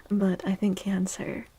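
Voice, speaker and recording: A woman speaking softly and gently in a breathy near-whisper, chatting casually as an ASMR streamer giving readings. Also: medium pitch, rough recording. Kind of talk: soft-spoken ASMR, casual chat.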